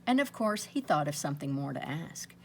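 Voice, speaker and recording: A woman reading aloud to children in an expressive storytelling style, with dramatic pauses and drawn-out emphasis. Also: low-pitched, background noise. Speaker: storybook reader.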